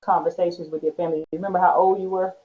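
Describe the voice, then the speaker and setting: A woman's voice: lowish voice, level tone, very fast, slight background noise. A woman hosting an interview, asking questions and answering with short encouragements like "wow". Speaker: host. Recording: dull lo-fi sound.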